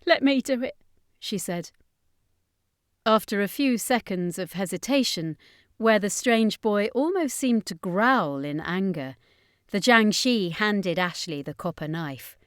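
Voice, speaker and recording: A woman's voice: medium pitch, lively intonation, a little slow, a bit bright, clean tone, dry recording, studio quality. A woman reading a horror fantasy story as scripted audiobook narration.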